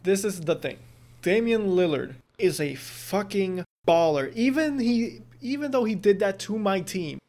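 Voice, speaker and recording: A man in casual sports chat, excitedly telling a fan story. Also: high voice, measured pace.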